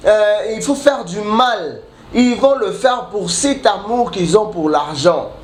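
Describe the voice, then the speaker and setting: A man preaching a sermon while he has a cold, halting and restarting often, then building emphatic repetitions. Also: high voice, animated. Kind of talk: sermon mixing French and Lingala. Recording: echoey room with faint ambient noise.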